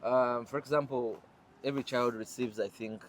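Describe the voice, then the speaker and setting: Halting, mid-pitched man hosting a chat. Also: steady tone, slight background noise. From Africa. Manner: halting, restarts sentences while searching for words. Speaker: host. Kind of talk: casual conversational interview.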